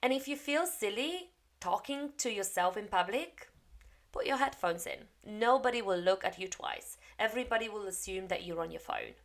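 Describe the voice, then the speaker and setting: A woman's voice: mid-range voice, lively intonation, moderate pace. Woman coaching English learners. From Poland. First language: Polish. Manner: encouraging, direct, practical. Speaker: English coach and TEFL teacher. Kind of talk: spoken lesson to camera.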